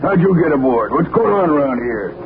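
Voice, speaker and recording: A man playing a gruff, honest old ship's watchman who talks in blustery, folksy speech, in 1940s radio acting. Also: fairly high pitch, sing-song, brisk. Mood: indignant. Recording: tinny, echoey, hissing old radio broadcast.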